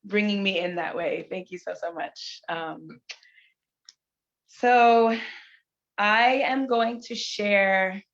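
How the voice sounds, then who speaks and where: A young adult woman, a poet, softly thanking the other readers in a warm, unhurried way. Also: medium pitch, fairly even intonation. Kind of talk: casual thanks between readings. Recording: dull, muffled audio.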